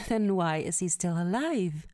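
A woman's voice: fairly low pitch, lively intonation, takes it slowly, a little mellow, no room sound, studio quality. A woman playing a cold, commanding crime boss with a curt, imperious manner in an audio drama.

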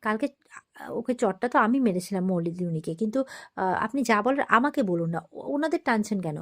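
A woman's voice: medium pitch, animated, fast, close to an everyday voice. A woman voicing a dutiful mother in a TV serial retelling, polite but outspoken and protesting. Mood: calm protest.

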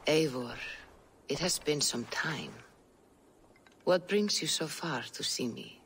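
An actress playing a solemn female Viking seeress who speaks in a measured, mystical way. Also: low-pitched, animated, a bit bright, a little hiss or hum, exaggerated voice. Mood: calm. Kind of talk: video game cutscene.

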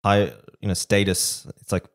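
A man's voice: fairly low pitch, level tone, normal speed. A man of Bengali heritage co-hosting a podcast, putting forward counterpoints with many fillers and restarts. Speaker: podcast co-host. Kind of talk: casual debate.

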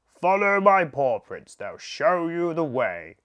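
A woman voicing a big, sleepy, hungry male bear in a storybook, speaking slowly and loudly. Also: low voice, sing-song, no room sound, muffled recording, heavily acted. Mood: drowsy.

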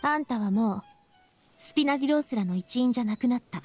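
A woman's voice: a little high, expressive, fast, a little mellow, close to an everyday voice. A woman voicing a young female gang boss in an anime game, brave but weary and vulnerable, speaking frankly and casually. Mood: composed, kind. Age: young adult. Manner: casual, frank, feminine speech. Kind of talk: Japanese anime game voice acting. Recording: music behind the voice.

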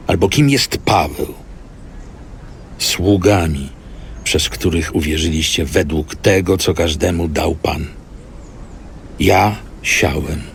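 A man reading a Bible letter in a slow, solemn, emphatic scripted way. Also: deep voice, gravelly, slight background noise.

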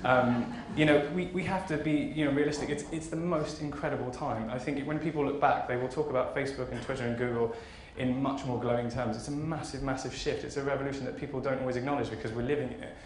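A man's voice: medium pitch, fairly even intonation, quick pace, few pauses. A man aged thirty giving an informal, enthusiastic conference keynote in a conversational way. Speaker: keynote speaker, fashion marketing executive. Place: large conference auditorium stage. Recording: roomy hall echo, faint background noise.

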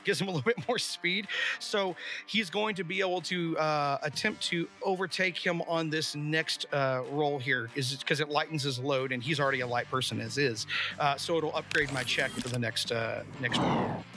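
A man's voice: on the high side, normal speed, pushed and bright, faint music behind. A man chatting casually and animatedly as a player in a tabletop roleplaying game.

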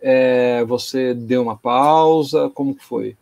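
A man's voice: mid-range voice, slow, creaky, a little mellow. A Brazilian man in his thirties hosting an interview, asking short, plain follow-up questions on a video call from home. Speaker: interviewer, host. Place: home room, indoors.

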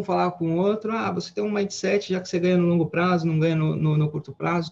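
A man in his forties telling his story in a relaxed, rambling way, with many fillers and restarts, from a home office over a headset on a video call. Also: fairly high pitch, creaky. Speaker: guest trader. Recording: dull lo-fi video call audio.

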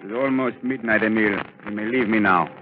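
An actor playing an arrogant megalomaniac mind-reader villain in a 1930s radio drama, pompous, imperious and theatrical. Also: male voice, fairly low pitch, brisk, lightly acted. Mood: imperious, scornful. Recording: muffled, noisy 1930s radio recording, reverberant.

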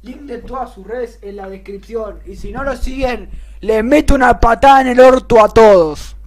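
A man's voice: extremely high pitch, normal speed. A young man with a Rioplatense Spanish accent chatting casually as a prank-video host, often repeating himself. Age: teens to young adult. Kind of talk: casual chat to followers and a caller. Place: small bedroom, indoors.

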